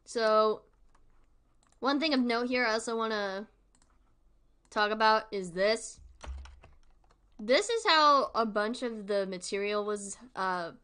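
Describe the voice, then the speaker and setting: A woman's voice: on the high side, slow, long pauses, sharp tone, smooth. A woman hosting a livestream with casual, informal commentary, rambling and thinking aloud as she goes. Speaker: livestream host.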